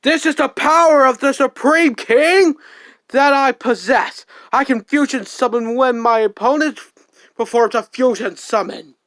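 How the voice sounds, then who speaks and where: A theatrical amateur voice actor performs anime-style card duel lines for a fan dub. The delivery is declamatory and unhurried, recorded very dry with little room sound. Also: sits high, a bit bright, no echo.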